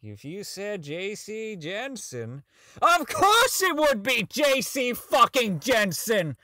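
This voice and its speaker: A man playing a socially inept, bitter robotics engineer in an audio drama, rambling and stammering through profane log entries as he slowly comes unhinged. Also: high-pitched, wildly swooping pitch, bright, forceful tone, dry recording, studio quality, full character voice. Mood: frantic, overwhelmed. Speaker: voice actor in a fan-made audio drama. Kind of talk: dramatic monologue as a recorded log.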